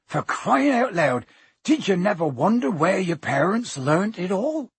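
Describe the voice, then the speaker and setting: Guy doing a big growly storybook giant, very raspy, hot temper but kind heart, booming. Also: very animated, unhurried, no echo, heavily acted. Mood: indignant, thundering. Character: huge gruff hot-tempered good-hearted male giant.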